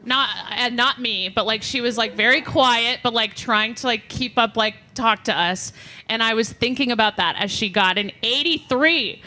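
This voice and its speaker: Bright-voiced woman podcaster. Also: a little high, normal speed. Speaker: podcast co-host. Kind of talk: casual chat and anecdotes at a live podcast show. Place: live show before an audience.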